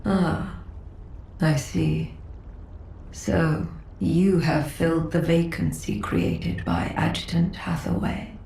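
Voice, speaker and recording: Game role: ailing female council leader, dignified and halting, gravelly, in an echoing room. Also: deep voice, animated, dark tone, slight background noise, exaggerated voice. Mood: grave, weary. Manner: measured, formal, halting. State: unwell, coughing. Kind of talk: video game story dialogue.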